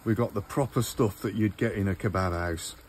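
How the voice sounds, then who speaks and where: A man's voice: lowish voice, brisk, slight background noise. Chatty man grilling outdoors. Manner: chatty, talks to viewers while cooking, says "look" often. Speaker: outdoor cooking host. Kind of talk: casual cooking vlog. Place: garden patio by a grill, outdoors.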